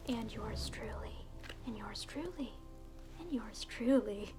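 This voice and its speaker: Woman acting a slow, whispering, snarky hellish alter ego. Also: a little high, lively intonation, music in the background. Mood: mock-welcoming. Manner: sardonic, breathy, mock-courteous asides. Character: snarky, theatrical evil alter ego welcoming souls to hell. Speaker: ASMR roleplayer. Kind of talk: whispered ASMR roleplay.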